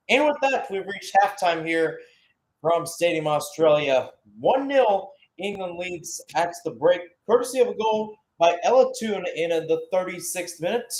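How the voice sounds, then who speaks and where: A man giving live play-by-play football commentary. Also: on the high side.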